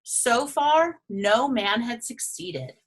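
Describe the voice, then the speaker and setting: A woman reading a children's story as expressive narration, lingering on phrase endings for emphasis. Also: a little high, slow, rough recording. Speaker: storybook reader.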